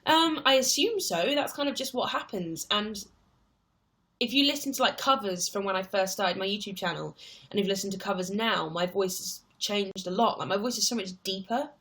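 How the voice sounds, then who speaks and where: Twenty-something woman rambling through chat questions on a livestream, mid-pitched voice. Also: moderate pace, fairly bright tone. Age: 20s. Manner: chatty and rambling, reads viewer questions aloud. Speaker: livestream host answering viewer questions. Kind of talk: casual live chat.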